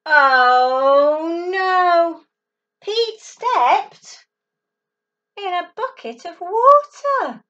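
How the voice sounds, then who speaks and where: A woman in her thirties, a teacher, reading a picture book aloud to a child at home, with animated storytelling and dramatic gasps and exclamations. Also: very high-pitched, extremely slow. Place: small room at home. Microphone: laptop webcam at table distance. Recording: dull lo-fi webcam audio from a distance.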